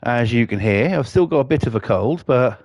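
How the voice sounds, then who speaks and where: A man's voice: medium pitch, animated, moderate pace, darkish tone, dry recording. A man with a cold, chatting casually and swearing while streaming a game, with lots of fillers. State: has a cold.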